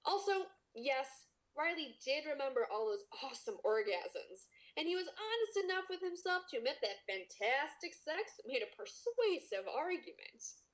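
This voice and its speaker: High-pitched, animated woman reading aloud. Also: moderate pace, low-quality recording. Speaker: podcast co-host reading a story aloud. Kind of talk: dramatic story reading with casual comic riffing.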